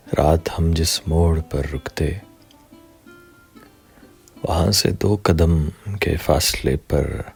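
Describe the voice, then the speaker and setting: A man reciting poetry in a measured, deliberate, dramatic way, pausing between short phrases. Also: very deep voice, gravelly, a little mellow, husky.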